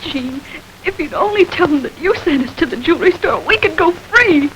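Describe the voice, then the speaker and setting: A woman's voice: very high-pitched, lively intonation, moderate pace, a bit bright, dull audio. An actress playing a frightened, pleading young woman in a 1940s radio drama. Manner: pleading, earnest. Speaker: Annabelle, accused girl. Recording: hissy old radio recording.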